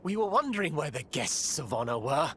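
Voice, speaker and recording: An actor playing a genial, courteous villager in a fantasy video game, polite and bustling. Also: male voice, on the high side, sing-song, brisk, a bit bright, a little hiss or hum.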